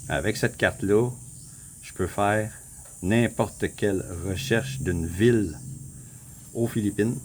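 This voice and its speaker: A man with a Québécois accent, casually walking viewers through a map on his screen, rambling, repeating himself and clearing his throat often. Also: fairly low pitch, takes it slowly, pauses often, slight background noise. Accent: Québécois French. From Quebec. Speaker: vlogger. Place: home in the Philippines.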